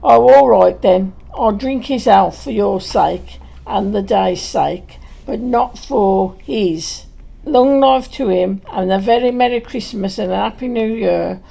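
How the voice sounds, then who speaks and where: A woman's voice: mid-range voice, slow pace, creaky, dark tone, full character voice, rough recording. A woman playing a tart but fond wife and mother in an audio play, blunt and motherly. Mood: indignant, grudging.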